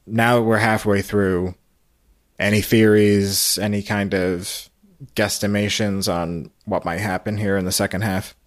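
A man with a low voice hosts a recap podcast in casual, rambling talk. He feels his way through sentences, and the sound is dry and close. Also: flat delivery, measured pace, dry recording. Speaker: podcast host.

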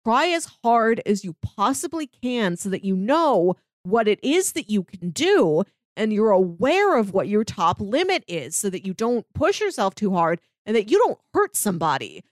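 A woman's voice: mid-range voice, very animated, normal speed, few pauses, no room sound, clean studio sound. A woman chatting casually as a podcast panelist, peppering her talk with "like". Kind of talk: casual animated-series discussion.

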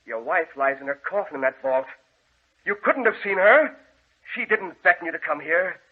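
An actor playing a scheming, jealous brother in an old-time radio horror drama, speaking in an urgent, commanding, melodramatic way. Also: male voice, a little high, expressive, normal speed, husky, phone-quality sound. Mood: stern insistence. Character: scheming, jealous brother, cold villain feigning concern. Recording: thin, band-limited vintage radio recording.

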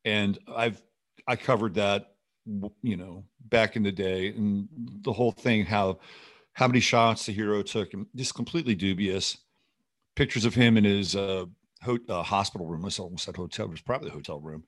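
A man's voice: fairly low pitch, normal speed. A man hosting a show with rambling, skeptical, sardonic and often profane unscripted political commentary.

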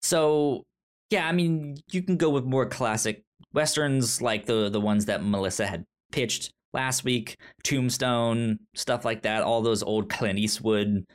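A man co-hosting a podcast in casual movie chat, talking haltingly with stammered repeats. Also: mid-range voice. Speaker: podcast co-host.

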